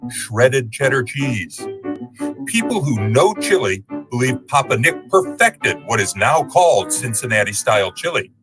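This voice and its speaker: A man reading a scripted sponsor advertisement as an announcer, with showy promotional delivery. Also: mid-range voice. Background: music.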